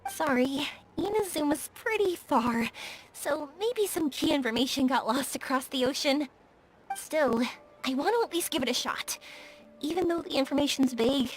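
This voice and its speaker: A woman's voice: high-pitched, expressive, moderate pace, slight background noise, rough recording. An actress playing a cheerful, determined young woman in a video game, friendly, polite and upbeat. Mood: apologetic, hopeful. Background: faint game music.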